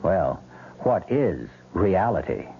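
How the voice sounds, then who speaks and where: A man's voice: deep voice, very animated, slow pace, heavy background noise. A man hosting a radio suspense drama, narrating theatrically and posing rhetorical questions. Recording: dull old radio broadcast. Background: loud dramatic music.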